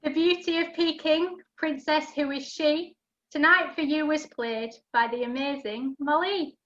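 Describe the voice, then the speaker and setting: A woman's voice: high voice, a little slow, fairly bright tone. A woman giving scripted rhyming narration for an amateur pantomime over a video call. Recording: dull lo-fi video call audio.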